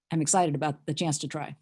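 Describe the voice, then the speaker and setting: Wry older woman, lowish voice. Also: steady tone, a little mellow, husky. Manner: wry, self-deprecating, halting. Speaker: nurse and bike advocate. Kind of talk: committee self-introduction.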